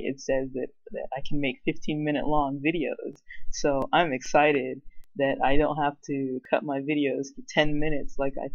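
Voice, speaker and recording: A man's voice: on the high side, no echo, lo-fi sound. A man giving a casual, unscripted show-and-tell as a hobbyist vlogger, rambling and hesitant in a self-effacing way.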